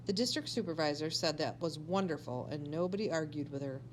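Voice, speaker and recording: A woman with a slightly low voice reads a children's story aloud in an expressive, animated way. She sounds like a teacher telling a tale to pupils. There is faint noise and hum in the recording. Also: fairly quick, low-quality recording. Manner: animated, acts out dialogue.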